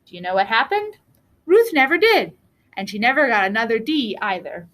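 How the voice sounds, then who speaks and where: A woman reading a children's book aloud as a storyteller, with deliberate, expressive phrasing. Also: a little high. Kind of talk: read-aloud storybook narration.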